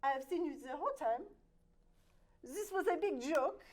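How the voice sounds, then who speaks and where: A woman's voice: sits high, expressive, moderate pace. A woman playing a vain aristocratic lady with a French accent, telling a dramatic story with many fillers. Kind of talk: improvised comedy scene.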